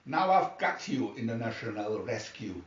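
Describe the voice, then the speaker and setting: A man's voice: lowish voice, very animated, moderate pace, voice pushed down. A man voicing a gloating, scheming male villain in a storybook reading, with theatrical menace. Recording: dull, roomy, distant microphone.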